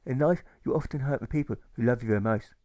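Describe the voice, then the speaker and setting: A man reading a gentle, apologetic young pacifist with earnest, repetitive pleading in an audiobook. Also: mid-range voice, fast talker, no echo, lightly acted. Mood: contrite.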